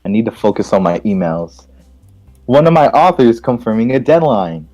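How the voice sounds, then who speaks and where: A man's voice: on the low side, sing-song, normal speed, warm tone, highs cut off, faint music behind. A man reading game text aloud and reacting casually, playful and stumbling over lines.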